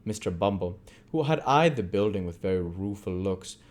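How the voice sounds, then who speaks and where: A man's voice: sits low, expressive, moderate pace, darkish tone. A man reading literary narration aloud as an amateur audiobook reader.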